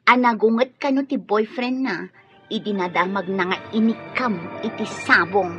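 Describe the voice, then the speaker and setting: A woman's voice: mid-range voice, moderate pace, natural voice. A woman playing a coy, teasing young single nurse in a radio drama. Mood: chatty. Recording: muffled radio sound, faint music.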